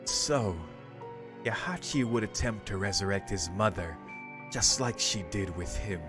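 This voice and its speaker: A man with a low voice hosts a visual novel let's play. He switches between casual commentary and reading the script aloud, with game music clearly playing behind him. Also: measured pace.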